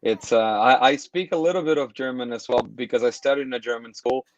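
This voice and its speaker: A man's voice: medium pitch, a little fast, no echo, muffled recording. A man from Brazil hosting a casual video call interview, talking in a friendly, rambling way with restarts. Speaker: podcast host.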